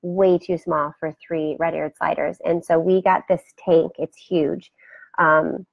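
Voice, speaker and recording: A woman hosting a casual live video chat from her home, rambling warmly and greeting viewers by name, her voice scratchy today. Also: low voice, flat delivery, measured pace, vocal fry, no room sound, dull audio. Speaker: host. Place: home room beside a turtle aquarium. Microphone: webcam for a live video.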